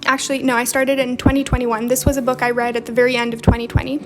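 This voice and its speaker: A young woman with a faint Romanian accent hosts a relaxed livestream. She reads out viewer comments and replies in a chatty way, and her voice sits high with little rise and fall. Soft music plays quietly behind her. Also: quick pace. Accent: slight Romanian. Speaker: livestream host. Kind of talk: casual livestream chat while journaling. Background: faint background music.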